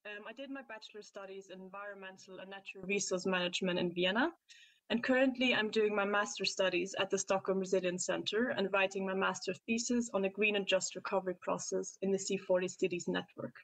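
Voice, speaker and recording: A woman in her twenties from Austria, giving an earnest webinar presentation over a video call and pausing now and then to find her words. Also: medium pitch, fairly even intonation, poor audio. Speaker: youth climate activist presenting. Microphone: computer mic on a video call.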